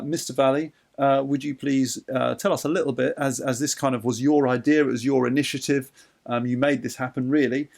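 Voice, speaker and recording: A man's voice: medium pitch, vocal fry, darkish tone. A man hosting a podcast, introducing his co-host in a friendly, hesitant way with many fillers. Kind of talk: casual podcast interview.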